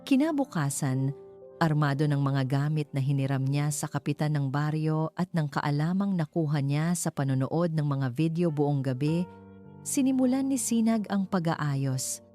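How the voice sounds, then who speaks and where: A woman's voice: low-pitched, animated, moderate pace, dark tone, faint music behind. A woman with a Filipino accent reading scripted narration of a romance story as its narrator.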